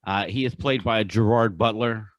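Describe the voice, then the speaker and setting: A man's voice: fairly low pitch, normal speed, no room sound. A man co-hosting a podcast in casual chat, talking with enthusiasm and emphasis. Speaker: podcast co-host.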